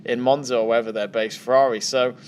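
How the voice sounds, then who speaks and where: A man's voice: medium pitch, steady tone, fast, a little mellow, slight background noise. Joking man rambling opinions. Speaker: podcast guest. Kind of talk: casual chat.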